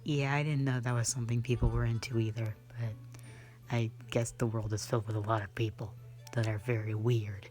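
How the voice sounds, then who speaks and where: A woman's voice: extremely low pitch, fairly even intonation, brisk, darkish tone, soft background music. A woman playing a rambling, easygoing, flirty magic mentor in a fantasy roleplay audio drama, talking in a hesitant, offhand way full of asides. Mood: chatty, offhand.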